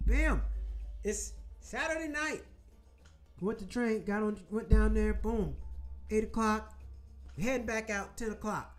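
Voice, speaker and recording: A rambling, salty host from Florida who chats casually with viewers, drifting from one aside to the next. The voice sits at an ordinary middle pitch, with soft music playing faintly underneath. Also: measured pace, pauses often. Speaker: show host.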